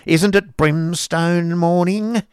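A man voicing a sharp-tongued, stingy woman who scolds bluntly, in an audiobook reading. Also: sits high, steady tone, slow pace, clean tone, no echo, clean studio sound, pitched above the performer's own voice. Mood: scolding. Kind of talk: audiobook character voice.

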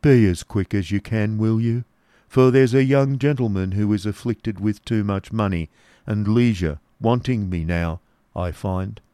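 A man voicing a gloomy, petulant apparition in a storybook reading, with a grim, drawn-out, snarling delivery. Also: lowish voice, mellow, dry recording, clean studio sound, lightly acted. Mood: gloomy, evasive. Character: gloomy, petulant male apparition urging despair.

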